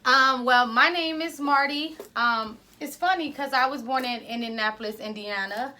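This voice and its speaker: Woman podcast co-host, loud, chatty, rambling, pitched a bit high. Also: normal speed. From Ohio, US. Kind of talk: casual podcast chat.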